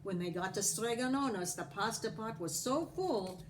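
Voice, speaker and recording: A woman reading a picture book aloud in an expressive, animated storytelling style. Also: medium pitch, moderate pace, a little hiss or hum. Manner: animated, acting out the dialogue. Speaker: storybook reader.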